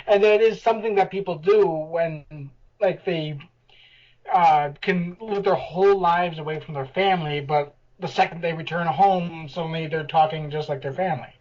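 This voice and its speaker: A man's voice: on the high side, moderate pace, a little mellow. A man chatting on a podcast, telling anecdotes and laughing at them. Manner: chatty, storytelling, laughing at his own anecdotes. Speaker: podcast panelist. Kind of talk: casual chat. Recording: dull lo-fi mic.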